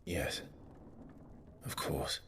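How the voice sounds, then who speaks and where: A man's voice: drawn-out words, a bit bright, a little hiss or hum. An actor playing a reserved, courteous British nobleman in his thirties in a video game, weary and subdued. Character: brooding, courteous young nobleman turned weary wanderer. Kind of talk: video game drama acting. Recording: game music behind.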